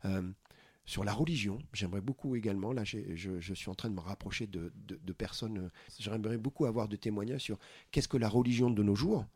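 A man from France in a casual interview, talking earnestly and often pausing to find his words. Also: fairly low pitch, a little mellow, breathy edge, no echo, studio quality. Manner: earnest, searching for words with many pauses. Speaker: podcast founder, interview guest.

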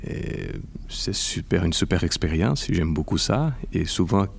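A Brazilian man in his forties, a lawyer speaking French as a second language with a Brazilian Portuguese accent, in a careful, halting interview manner. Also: low-pitched, expressive, gravelly, breathy edge. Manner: careful, halting with repeated words. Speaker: intellectual property lawyer. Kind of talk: podcast interview.

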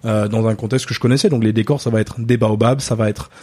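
A man who grew up in Ghana and whose first language is English, talking as a guest on a podcast. His voice is mellow and warm, and his delivery is relaxed. He stops often to find the right word. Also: on the low side, fast talker, breathy edge. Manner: thoughtful, with frequent hesitations. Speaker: manga artist guest. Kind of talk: casual podcast interview.

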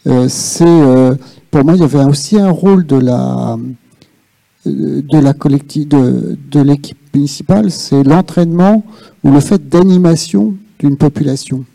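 A man speaking off the cuff at a public discussion, halting and reflective as he searches for his words. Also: a little high, vocal fry, warm tone, no room sound. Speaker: audience participant in a policy workshop. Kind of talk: spontaneous spoken remarks at a public discussion.

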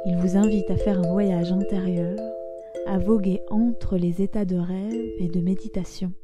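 A woman giving a calm, scripted introduction to a relaxation podcast. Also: mid-range voice. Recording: loud mallet music bed. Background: chiming mallet music.

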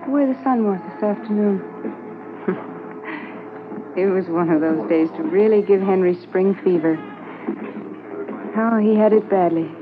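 A woman's voice: medium pitch, a little slow, long pauses, over music, lightly acted. A woman playing a wistful, anxious but affectionate policeman's wife in a reflective, conversational 1950s radio drama style. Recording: muffled noisy 1950s radio transcription.

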